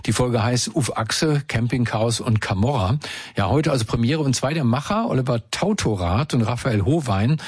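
A man presenting a radio show segment in a relaxed, chatty scripted way. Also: medium pitch, normal speed, husky, no echo, studio quality.

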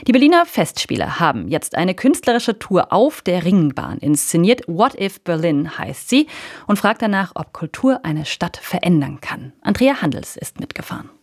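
A woman presenting a morning radio culture program. She has a slightly low, husky voice. Her pitch moves a lot, and the studio sound is dry and close. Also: moderate pace, no echo. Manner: lively, conversational presenting. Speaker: radio morning show host. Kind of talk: radio moderation. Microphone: studio microphone.

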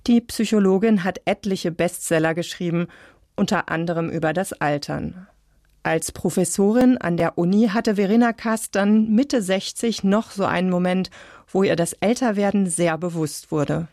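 Low-pitched woman narrating, dry sound. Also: a little mellow, no room sound. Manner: measured, clear explaining. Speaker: radio science narrator. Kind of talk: scripted narration.

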